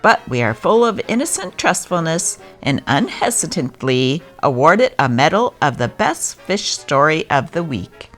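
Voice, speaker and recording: A woman's voice: low voice, big pitch swings, normal speed, hardly any pauses, faint music behind. A woman narrator giving a scripted reading of old newspaper stories.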